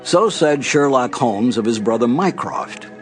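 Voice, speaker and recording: A man's voice: medium pitch, lively intonation, unhurried, soft background music. A man, reading scripted narration as the host introducing classic mystery broadcasts.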